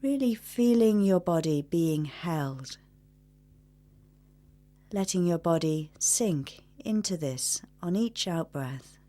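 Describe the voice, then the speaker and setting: Female meditation guide: lower register, very unhurried delivery, gentle and measured instruction. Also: expressive. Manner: gentle, measured, with long pauses.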